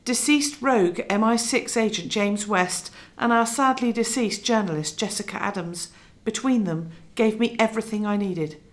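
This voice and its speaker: An actress playing a resolute investigative TV journalist in a radio drama, presenting in a measured, emphatic way. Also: female voice, mid-range voice, slight background noise, natural voice. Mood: resolute, serious. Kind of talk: scripted documentary presenting within radio drama.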